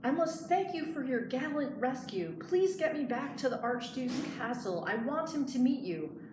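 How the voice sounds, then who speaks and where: A woman's voice: sits high, animated, moderate pace, distant mic, voice pushed up. A woman voicing a refined, grateful countess from a storybook, gracious and effusive in manner. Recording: echoey room, clear background noise.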